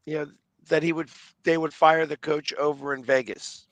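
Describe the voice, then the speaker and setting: A man's voice: fairly high pitch, little pitch movement, moderate pace, no room sound, low-quality recording. A man talking casually about sports as a panelist on a show.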